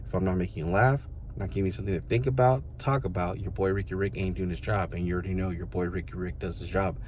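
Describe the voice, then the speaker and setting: Deep-voiced man in his thirties, rambling casually. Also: steady tone, fast, a little mellow, slight background noise. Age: 30s. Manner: rambling, loose, full of fillers such as "you know" and "like". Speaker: vlogger. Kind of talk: casual reflective monologue to the camera.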